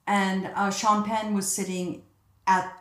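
A woman's voice: medium pitch, takes it slowly, noisy background. An older woman from Egypt, a casting director, telling stories as a guest in a casual interview with lots of laughter. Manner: chatty, laughing, animated quoting. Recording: roomy echoey sound.

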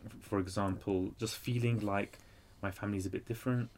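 A man's voice: on the low side, brisk, slight background noise. A man in his thirties with a London accent, a guest author talking casually with many fillers and easy laughs. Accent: London English. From Iraq. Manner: energetic, laughs easily, chatty with fillers. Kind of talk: casual interview talk.

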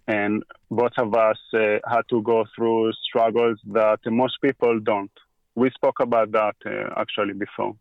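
Israeli-accented male guest, halting unhurried delivery, dry slightly dull recording. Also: on the low side, flat delivery, gravelly, no echo. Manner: halting, with frequent pauses and restarts. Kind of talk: casual conversation.

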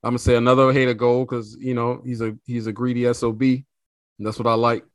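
Playful slangy podcast host, dry sound. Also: male voice, medium pitch, flat delivery, quick pace, no echo. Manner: playful, repeats himself, slangy. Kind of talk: casual sports chat.

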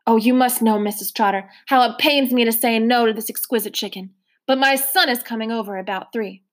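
A woman voicing a frail, gentle old man who talks in a polite, fussy, genteel way. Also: on the high side, a little fast, close to an everyday voice. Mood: courtly.

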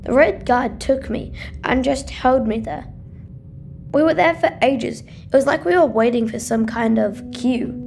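Lady doing young boy in a sci-fi audio play, high voice, worried and nosy, music behind. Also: unhurried, gravelly. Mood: curious. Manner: questioning, plaintive. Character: inquisitive, anxious, trusting young boy. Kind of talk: sci-fi audio drama acting.

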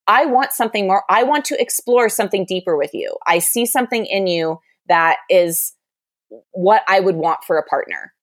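A woman's voice: medium pitch, dry recording, studio quality. A young adult woman telling a candid, exasperated dating story with lots of "like" in a casual chat.

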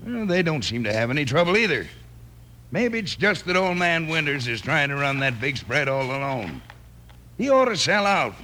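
A crooked rancher from a radio western, with a fairly high, forceful voice. He is gruff, threatening and curt in a Western American accent. The old recording has some background noise. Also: sing-song, normal speed. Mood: guarded, sly.

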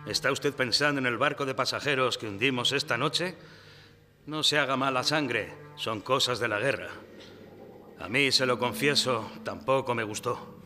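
An actor playing a young, commanding submarine captain in an emphatic live radio drama performance. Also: male voice, medium pitch, normal speed, bright, forceful tone. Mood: probing, confiding. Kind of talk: live radio theatre acting. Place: live stage in a library before an audience. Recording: reverberant hall, music bed.

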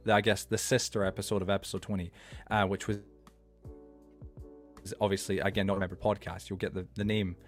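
A young man in his twenties hosting a late-night podcast, talking quickly and loosely with plenty of ums and restarts. His voice sits on the lower side, with faint music playing under it. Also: little pitch movement. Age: 20s. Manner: rambling, many fillers and restarts. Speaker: podcast host.

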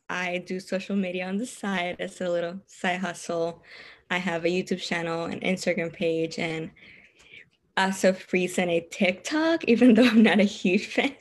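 Female guest, 20s, Cuban accent, low-set voice, relaxed and giggly. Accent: Cuban Spanish. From Cuba. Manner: laughs often while talking. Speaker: podcast guest. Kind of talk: casual interview chat.

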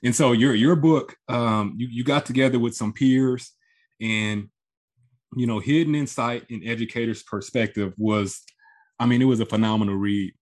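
A man's voice: medium pitch, fairly even intonation, moderate pace. A man hosting an interview podcast, affirming his guest and restarting his sentences as he asks questions. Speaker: podcast host. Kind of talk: casual interview conversation.

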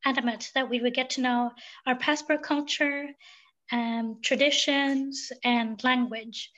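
High-voiced woman, thoughtful podcast guest. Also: fairly even intonation, measured pace, a bit bright, highs cut off. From Sweden. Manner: thoughtful, many um fillers. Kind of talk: reflective interview talk.

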